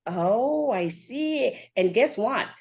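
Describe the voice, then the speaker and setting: A woman's voice: on the high side, animated, very slow, darkish tone, husky. A woman hosting an upbeat podcast interview show, chatty and enthusiastic, speaking straight to her listeners. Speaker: show host and conference organiser.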